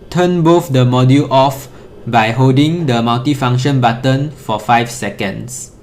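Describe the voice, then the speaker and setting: A man's voice: medium pitch, a little mellow, slight background noise. A man presenting an instructional tutorial in a methodical, step-by-step way.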